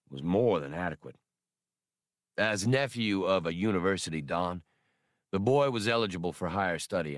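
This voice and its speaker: A man voicing a courteous, grave older scholar in an audiobook, with formal, deliberate wording. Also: low-pitched, no room sound, clean studio sound. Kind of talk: audiobook character dialogue.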